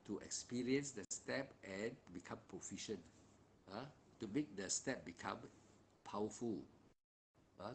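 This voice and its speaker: A man giving meditation guidance online, speaking haltingly with many fillers and repeated phrases. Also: on the low side, a little hiss or hum. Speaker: meditation teacher. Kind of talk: live-streamed meditation teaching.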